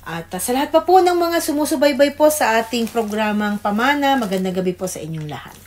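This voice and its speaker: A woman's voice: a little high, animated, brisk, darkish tone, a little hiss or hum. A woman with a Filipino accent co-hosting a radio program, speaking informally with greetings and brief replies. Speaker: radio co-host.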